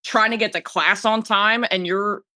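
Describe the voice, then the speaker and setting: Female podcast guest from South Jersey, bright forward voice, close dry sound, informal slangy chat. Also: mid-range voice, fairly even intonation, moderate pace, no echo. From South Jersey, United States. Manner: informal, peppered with "like" and "dude". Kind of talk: casual chat.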